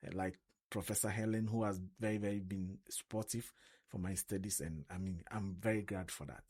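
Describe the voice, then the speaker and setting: A man's voice: deep voice, fairly even intonation, a little fast, darkish tone, slightly rough. A young man with a Ghanaian accent, talking earnestly on a radio show and often restarting with "I mean". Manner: earnest, repeats words and uses many "I mean" fillers. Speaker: co-host. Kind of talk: casual radio interview.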